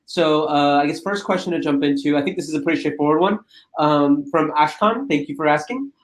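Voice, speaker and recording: A man's voice: a little high, fairly quick. A man hosting a webinar in a conversational, informal way, restating his points for emphasis. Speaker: webinar host and moderator.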